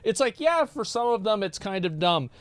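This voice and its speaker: A man rambles through his reactions on a live stream, swearing freely and stumbling over repeated words. His voice sits a bit high. The sound is dry and close. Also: sing-song, normal speed, fairly bright tone, no room sound. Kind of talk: casual live-stream commentary.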